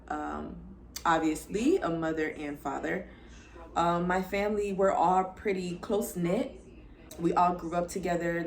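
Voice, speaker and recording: Chatty young woman from Florida, low voice. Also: vocal fry. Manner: chatty, upbeat, addresses viewers as y'all and girl. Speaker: lifestyle vlogger. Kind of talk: casual to-camera introduction.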